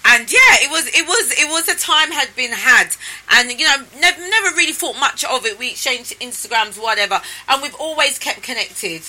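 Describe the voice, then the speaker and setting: A woman hosting a casual interview, lively and chatty, often laughing as she talks. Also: sits high, moderate pace, poor audio. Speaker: host.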